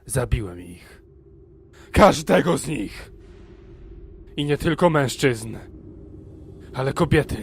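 Mężczyzna jako melodramatyczny młody wojownik, żywa intonacja. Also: male voice, mid-range voice, sing-song, takes it slowly, creaky, pauses often, background noise, exaggerated voice. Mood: angry confession. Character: brooding, melodramatic young warrior, lovesick and hot-tempered. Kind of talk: Polish fan parody dub.

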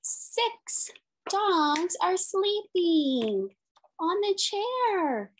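A woman's voice: very high-pitched, very animated, extremely slow, slight background noise, low-quality recording. A woman in her thirties reading a picture book aloud as a teacher, in simple sing-song phrases with questions for young children. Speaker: special education teacher.

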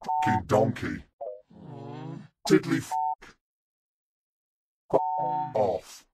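A furious, foul-mouthed chef from a mobile game, his voice turned demonic by an effect. He barks insults and kitchen orders in very slow, separated bursts, with big swings in pitch. Faint noise runs through the game capture. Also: big pitch swings, exaggerated voice. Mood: exasperated, insulting. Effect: demonic voice filter. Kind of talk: mobile game character voice lines.

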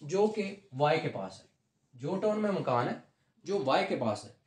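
High-pitched Pakistani man lecturing in echoey room. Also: very animated, measured pace, darkish tone. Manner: explanatory, repeats key points, mixes in English legal terms. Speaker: law lecturer. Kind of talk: classroom lecture with questions and explanations. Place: small room at a whiteboard, indoors. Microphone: camera a few steps away. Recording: roomy echoing indoor recording.

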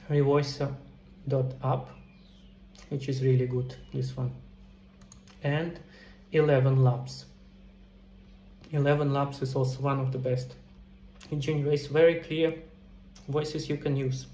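A man's voice: mid-range voice, dark tone. Echoey man presenting a tutorial. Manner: explanatory, addresses viewers directly. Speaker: video tutorial presenter, indie game developer. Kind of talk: casual step-by-step screen tutorial. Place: indoor room. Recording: roomy echo, some background noise.

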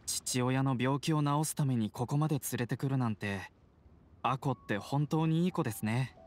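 Man acting polite pharmacy assistant, mid-pitched. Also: moderate pace, lightly acted. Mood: warm, reflective. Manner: polite, sincere. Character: kind, polite young male pharmacy assistant. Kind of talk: scripted game dialogue.

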